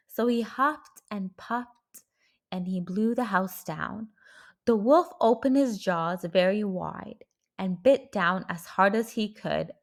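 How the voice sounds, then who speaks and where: A woman narrating a children's storybook aloud, expressively and with deliberate dramatic emphasis. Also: medium pitch, fairly bright tone.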